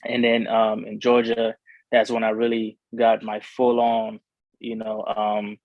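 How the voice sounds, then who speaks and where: A man's voice: fairly low pitch, completely flat delivery, unhurried, no echo, dull audio. A young man from Cameroon, a rapper, talking casually in an interview, rambling with lots of fillers and restarts. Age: young adult.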